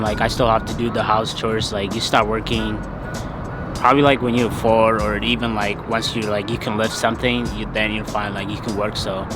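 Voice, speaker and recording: A young man from Ethiopia telling his own story in a loose, hesitant way, full of fillers. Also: mid-range voice. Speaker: interviewee. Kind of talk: casual personal storytelling.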